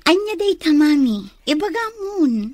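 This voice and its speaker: Obedient young Filipino secretary for radio comedy drama, animated and fairly high, dry studio. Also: female voice, measured pace, dry recording. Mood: puzzled. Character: eager young secretary, obedient daughter to her mother.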